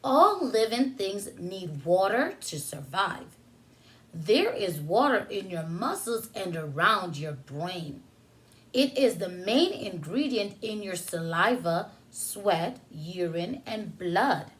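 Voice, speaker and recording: A woman preschool teacher reading a picture book aloud to young children, pausing for simple explanations and questions addressed to them. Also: medium pitch, big pitch swings, poor audio.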